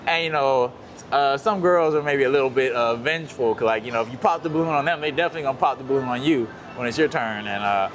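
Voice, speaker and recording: A man's voice: mid-range voice, fast. A man casually telling a story, rambling with lots of fillers, outdoors in a city. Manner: rambling, many fillers, relaxed. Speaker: guest.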